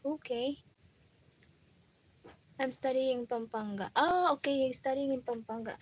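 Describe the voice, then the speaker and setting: Slow-talking Filipino woman on a livestream. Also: sits high, slight background noise. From Philippines. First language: Waray. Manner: chatty, code-switches, laughs often. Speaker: livestream host. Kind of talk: casual livestream chat reading viewer comments. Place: small home kitchen with tin roof, evening. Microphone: phone camera close up.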